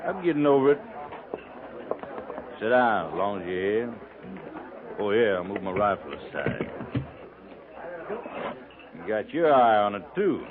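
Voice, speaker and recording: An actor playing a cocksure, sardonic frontier buffalo hunter with folksy western phrasing in a 1950s radio drama. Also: male voice, lowish voice, sing-song, measured pace, long pauses, background noise. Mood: wary, wry. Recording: tinny, band-limited 1950s radio recording.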